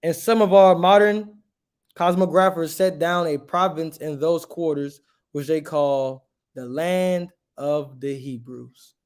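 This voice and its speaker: A man's voice: on the high side, takes it slowly. A man teaching a livestreamed religious history class, reading passages aloud and preaching emphatically, often checking with "right?".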